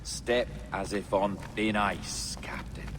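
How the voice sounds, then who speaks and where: An actor playing an enigmatic male pirate captain in a video game cutscene, measured and cultured. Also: fairly low pitch, background noise. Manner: measured, cultured, quietly cryptic.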